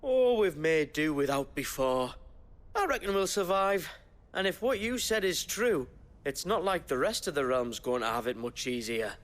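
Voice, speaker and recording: An actor playing a cheeky, shifty young village rogue in a video game, with a glib, colloquial manner. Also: male voice, fairly high pitch, lively intonation, moderate pace, a bit bright. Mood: breezy, defensive. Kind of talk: video game cutscene acting.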